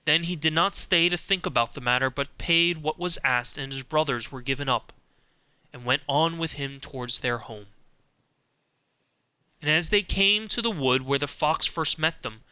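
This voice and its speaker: A man narrating a scripted fairy tale as an audiobook, in a measured storybook manner. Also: a little high, clear, resonant tone, no room sound, lo-fi sound.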